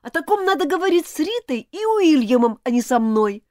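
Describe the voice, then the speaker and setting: A woman's voice: high-pitched, very animated, brisk, a little mellow, dry recording, clean studio sound, voice pushed up. A woman reading aloud, voicing an indignant schoolgirl who scolds and accuses. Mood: scornful. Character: furious indignant schoolgirl, sharp-tongued. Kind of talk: audiobook character voice.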